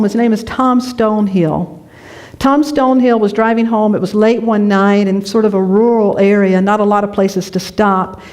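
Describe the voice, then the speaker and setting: A woman minister from North Carolina with a lilt delivers a sermon in a church sanctuary. She talks in a lively, conversational way, mixing personal stories with affirmations and breaking into laughter. Her voice sits at an ordinary middle pitch for a woman. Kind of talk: sermon with storytelling.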